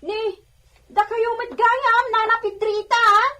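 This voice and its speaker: A woman's voice: very high voice, fairly even intonation, slow pace, bright, forceful tone, heavily acted. A woman playing a sweet, coy young village maiden in a radio drama, with bashful, questioning delivery.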